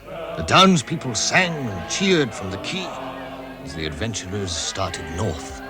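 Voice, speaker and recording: A man's voice: on the low side, sing-song, measured pace. A man narrating a fantasy quest as a solemn storyteller in a scripted radio drama. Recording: narration under loud dramatic music. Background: loud music.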